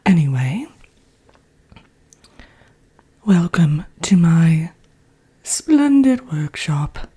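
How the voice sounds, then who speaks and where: A haughty evil queen, acted by a woman, whispers through an ASMR roleplay. Her voice is low and extremely breathy, with a dark, soft tone. She instructs with deliberate, wry condescension, trailing into hesitant asides. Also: big pitch swings, full character voice. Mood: wry, welcoming. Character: haughty, dry evil queen villainess teaching a workshop.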